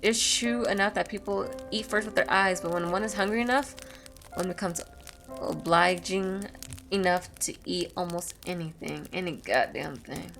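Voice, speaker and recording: A woman's voice: fairly low pitch, normal speed, gravelly, a bit bright. A woman reading a visual novel aloud as a gaming YouTuber, with casual, blunt, sweary asides. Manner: blunt, sweary reactions between read passages. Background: music.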